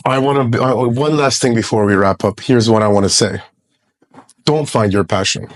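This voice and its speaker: A man's voice: mid-range voice, moderate pace. A man in his forties from Lebanon, a guest investor giving blunt, profane, emphatic advice in a casual podcast interview. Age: 40s.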